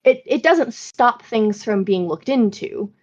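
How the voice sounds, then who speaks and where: An American woman hosting a podcast, explaining things in an informal, animated, sweary way. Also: fairly high pitch, unhurried, highs cut off. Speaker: podcast host. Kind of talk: casual explanatory podcast chat.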